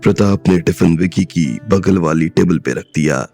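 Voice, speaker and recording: A male narrator with a very deep voice and a gravelly fry. He tells the story in a steady, scripted way. Soft music plays underneath. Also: moderate pace.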